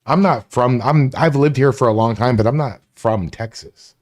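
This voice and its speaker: A man's voice: mid-range voice, normal speed. A man from Florida hosting a casual live stream, rambling, greeting chat viewers by name and swearing freely. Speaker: livestream host.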